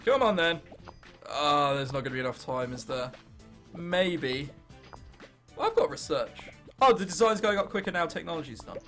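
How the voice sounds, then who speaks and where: A teenage man from England with an English accent, commentating on gameplay and thinking aloud in an excitable, sweary, self-mocking way. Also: a little high, normal speed, pauses often, soft background music. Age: late teens. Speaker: gaming video host.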